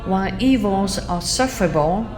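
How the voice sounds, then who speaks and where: Female reader, formal scripted delivery, mid-pitched, roomy acoustic with music behind. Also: animated, moderate pace. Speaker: community reader. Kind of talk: formal scripted reading of a historical document.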